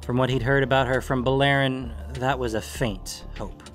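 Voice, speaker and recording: Guy narrating an audiobook, normal pitch, bit of music behind. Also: fairly even intonation, moderate pace.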